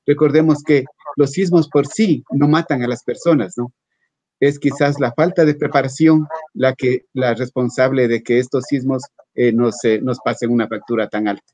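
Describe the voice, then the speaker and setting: A middle-aged man explaining as an academic panelist on a video call, haltingly, repeating words and adding questioning tags. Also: medium pitch, fairly even intonation, mellow, dry recording. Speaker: scientist, workshop panelist. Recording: slightly dull video-call audio.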